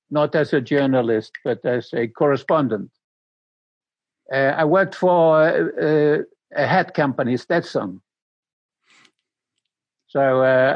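An older man from Sweden with a Swedish accent, speaking English as a non-native and recounting his life in a halting way, repeating words as he searches for them. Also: mid-range voice, dry recording, highs cut off. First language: Swedish and Danish. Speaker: interview guest.

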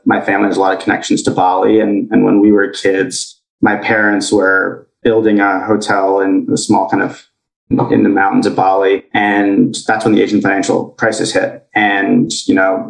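A young man with an American accent, answering interview questions in a relaxed way with lots of "like" and "kind of". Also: fairly low pitch, vocal fry, echoey room, far from the mic, rough recording. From grew up in Indonesia. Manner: relaxed, uses "like" and "kind of" a lot. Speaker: guest.

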